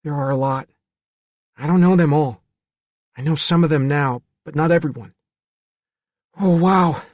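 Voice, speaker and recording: A man's voice: on the high side, normal speed, a little mellow, no echo, voice pushed up, lightly acted. Storybook role: scared little boy played by a man, fragile, childlike, voice raised, dry close sound. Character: frightened, fragile young boy keeping secrets.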